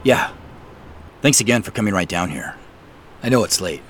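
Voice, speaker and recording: An actor in an audio drama playing a furtive, awkward office worker, with halting, casual delivery. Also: male voice, lowish voice, animated, a bit bright, a little hiss or hum. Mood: furtive, musing. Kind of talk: naturalistic audio drama acting.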